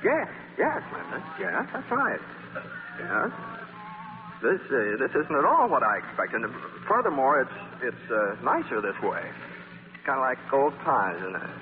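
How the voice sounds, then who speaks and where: Charming wisecracking suitor, lively old radio. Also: male voice, mid-range voice, measured pace, faint music behind. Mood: flustered, wistful. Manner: hesitant stammering mixed with flippant, self-mocking quips. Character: wisecracking, charming, restless male journalist and former suitor. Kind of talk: 1940s radio acting. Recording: hissy, tinny old radio broadcast, hall reverb.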